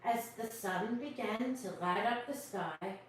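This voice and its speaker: Woman, children's storyteller, higher-pitched, slow pace, expressive asides, distant echoey recording with faint noise. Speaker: host reading picture books to children. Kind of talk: expressive storybook reading with asides to young listeners.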